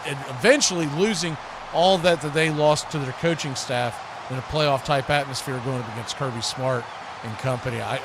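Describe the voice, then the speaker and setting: An American man giving live, unscripted football commentary as a stream host, in a folksy, conversational way. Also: mid-range voice. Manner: conversational, folksy, rises to excited shouts on big plays. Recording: clearly noisy live stream background.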